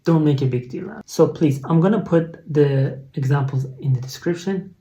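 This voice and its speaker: A man with a Persian accent, speaking English as a second language, teaching an online test-prep lesson in a clear, instructive way. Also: on the high side, moderate pace, dark tone. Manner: explanatory, instructive, with frequent fillers and restarts.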